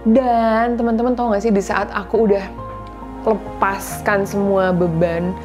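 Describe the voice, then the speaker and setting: A woman's voice: mid-range voice, steady tone, measured pace, over music. A woman in her thirties talks earnestly and confidingly to her viewers about faith and marriage, in a casual style that mixes in English words. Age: 30s. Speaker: vlogger sharing faith and marriage advice. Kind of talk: casual heartfelt talk to viewers.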